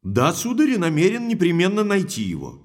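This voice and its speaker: A man's voice: high-pitched, fairly quick, exaggerated voice. An actor playing a hot-tempered, indignant baronet in an audio drama, emphatic and agitated in his speech. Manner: agitated, emphatic, courteous when calming.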